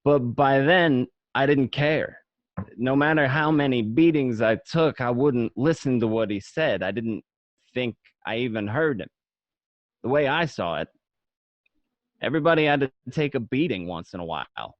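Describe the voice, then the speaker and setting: A man's voice: medium pitch, lively intonation, moderate pace, no echo, natural voice. A man playing a streetwise gangster who tells of his boyhood with swagger, in an amateur radio play. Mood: matter-of-fact, unbothered. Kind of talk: first-person narration in an amateur radio play. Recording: dull lo-fi home recording.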